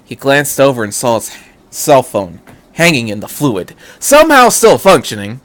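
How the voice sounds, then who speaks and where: A man's voice: mid-range voice, huge pitch swings, moderate pace, a bit bright. Man dramatically narrating a fan fiction story.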